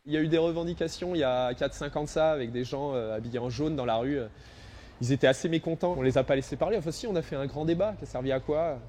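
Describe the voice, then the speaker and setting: A man's voice: a little high, fast, warm tone, a little hiss or hum. A man in a street interview speaking in a bitter, sarcastic way.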